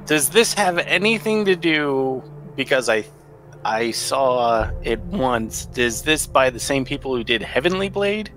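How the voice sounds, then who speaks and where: Mid-pitched man in casual stream chat. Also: very animated, unhurried, a bit bright. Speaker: guest on a game stream voice chat.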